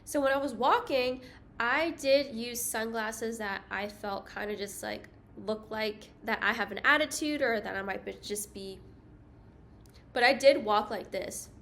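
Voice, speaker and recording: A young woman with a higher-pitched voice gives safety tips in a casual vlog, chatty and self-deprecating, with light laughs. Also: a little slow, a bit bright, a little hiss or hum. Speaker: travel vlogger. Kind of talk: casual talking-head vlog.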